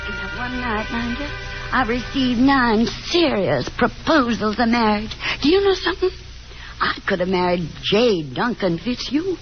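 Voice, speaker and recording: High, swooping Southern mother. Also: animated, measured pace, music in the background. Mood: wistful, boastful. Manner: chatty, dramatic, scolding. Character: proud, nagging, nostalgic Southern mother, faded belle. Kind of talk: 1950s radio drama acting. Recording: dull, noisy 1950s radio recording.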